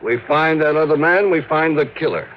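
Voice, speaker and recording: An American man playing an alert, cooperative young police sergeant in an old radio crime drama, plain-spoken and brisk. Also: a little high, lightly acted. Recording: muffled, noisy old radio recording.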